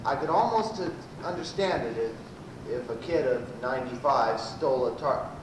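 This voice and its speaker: A man playing a pompous, badgering prosecutor in an amateur radio comedy, with a stumbling, halting delivery. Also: medium pitch, expressive, lightly acted. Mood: probing. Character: pompous, badgering male prosecuting lawyer in sci-fi parody. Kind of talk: amateur old-time radio comedy acting. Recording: roomy, distant, noisy amateur live recording.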